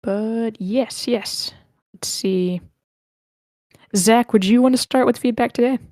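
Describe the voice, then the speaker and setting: A woman's voice: fairly high pitch, slow pace, warm tone, no room sound, studio quality. A woman casually moderating an online script read, calling on people and reading out comments, sometimes murmuring to herself. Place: indoors on an online meeting call.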